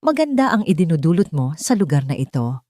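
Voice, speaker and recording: Woman voicing a reserved, wealthy hacienda man, quiet and measured. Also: deep voice, big pitch swings, no room sound, studio quality. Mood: reserved, approving. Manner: quiet, measured, few words. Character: reserved, terse, wealthy male hacienda owner.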